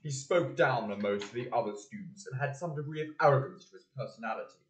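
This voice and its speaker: A man's voice: mid-range voice, quick pace, natural voice. A young man playing a storyteller, giving scripted narration in an amateur audio drama. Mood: measured, wry. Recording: echoey hall.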